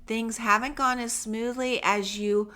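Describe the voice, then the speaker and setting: A woman's voice: a little high, steady tone, a little slow, sharp tone. A middle-aged woman hosting a podcast, getting over a cold, speaking to listeners in a reassuring, conversational and emphatic way. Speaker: podcast host for homeschool parents. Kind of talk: encouraging solo podcast talk, addressing listeners directly.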